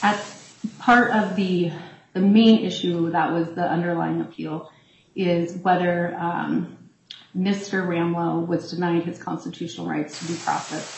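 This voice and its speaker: A nervous woman attorney with a very soft, shaky voice, arguing carefully before a court at the podium microphone and pausing on many ums. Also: fairly low pitch, gravelly, a little hiss or hum. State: nervous, voice shaking. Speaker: appellee's attorney. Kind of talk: appellate oral argument. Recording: roomy courtroom echo.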